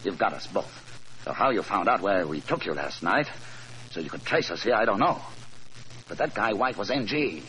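Gangster boss for a vintage radio crime drama: scheming, slangy, lowish, swinging pitch. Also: male voice, very animated, moderate pace, a bit bright. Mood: bargaining. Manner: slangy, hard-boiled. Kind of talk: 1940s radio crime acting. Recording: noisy 1940s radio recording.

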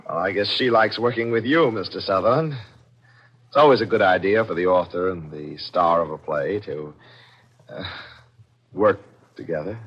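A man's voice: low voice, moderate pace, pauses often, slightly rough, dull audio. An actor playing a cool, calculating murderer who narrates his scheme in an old radio mystery, controlled and confiding. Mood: feigned friendliness. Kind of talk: 1940s radio mystery acting, first-person narration. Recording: old radio drama with music behind.

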